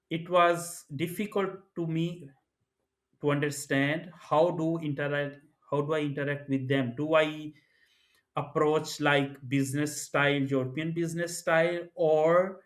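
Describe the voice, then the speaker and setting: A man's voice: fairly high pitch, fairly even intonation, measured pace. A man from Pakistan co-hosting a business culture talk, speaking thoughtfully with many restarts. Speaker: co-host. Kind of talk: conversational podcast discussion.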